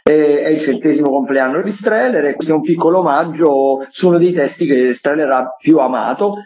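A man's voice: fairly high pitch, brisk, darkish tone. A man giving chatty radio recommendations as a theatre critic, wry and a little hesitant. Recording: slightly dull lo-fi radio link.

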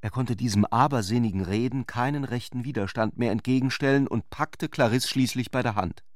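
A man's voice: mid-range voice, a little fast, dry recording, clean studio sound. A man narrating a literary radio drama from a script.

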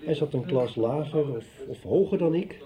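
Male actor as weary middle-aged father, laconic, medium pitch, radio soap. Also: moderate pace, crowd noise, natural voice. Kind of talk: 1990s radio soap acting.

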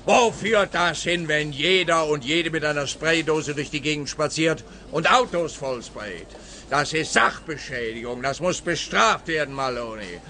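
A man's voice: fairly high pitch, unhurried, sharp tone. An actor playing a pompous, grumbling, self-righteous policeman in a comic radio play, speaking in an emphatic, lamenting way. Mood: indignant. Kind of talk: radio play comedy dialogue.

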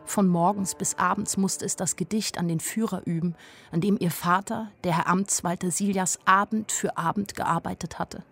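A young woman narrating a radio play in the first person, with a lowish voice and music behind her. She is plain-spoken and wry, a naive girl who notices everything. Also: steady tone, normal speed. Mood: wry, observant. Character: young woman, naive yet sharply observant storyteller.

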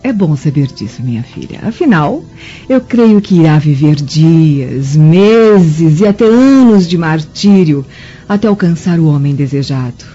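Low, mellow scheming Roman mother. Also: animated, breathy edge, soft background music, full character voice. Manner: deliberate, theatrical. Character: scheming Roman mother, cautious and coolly commanding. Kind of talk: dramatic radio play acting. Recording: muffled radio drama recording.

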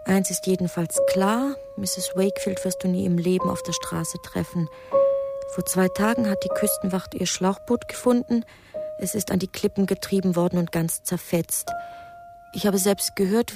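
A woman's voice: mid-range voice, gravelly. An actress in a German radio play voicing a smug young girl who taunts and gloats as she tells lurid news. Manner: knowing, taunting, lurid storytelling.

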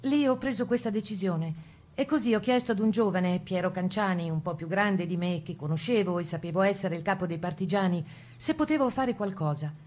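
A woman playing Italian partisan women who recall the Resistance, reading their testimony for radio in an earnest, measured way. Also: medium pitch, darkish tone, close to an everyday voice. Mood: grave, resolute.

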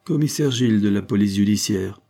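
A man voicing a calm, unflappable police commissioner in an audiobook, curt, dry and polite. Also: fairly low pitch, brisk, creaky, slightly rough. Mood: calm, official. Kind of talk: audiobook character dialogue.

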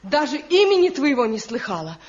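An actress playing an anguished, indignant young village wife in a tragic, declamatory Soviet radio drama style. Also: female voice, high voice, very animated, a little fast. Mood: anguished, accusing. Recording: dull vintage radio recording.

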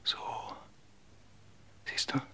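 An actor in a radio drama playing a distraught man who searches for his hidden little sister, talking in hushed whispers. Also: very slow, soft background music. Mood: hushed, dazed.

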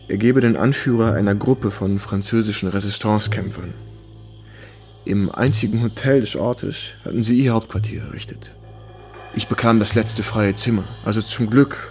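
An actor playing a young war correspondent who recounts his memories in measured, vivid radio play narration. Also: fairly low pitch, natural voice. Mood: measured, recounting. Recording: clear music behind. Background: music.

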